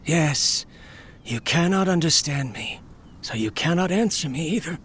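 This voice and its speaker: Lonely male inventor, anime game monologue, somewhat high pitch, weary, wistful, gentle, slight background noise. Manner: soft-hearted, wistful, talks tenderly to his machine.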